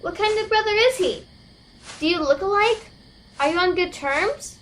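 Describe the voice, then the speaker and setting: A woman's voice: extremely high pitch, expressive, normal speed, a bit bright, slight background noise. A woman playing a peculiar, whiny teenage girl in an amateur audio drama, childishly inquisitive and insistent. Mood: eager, pestering.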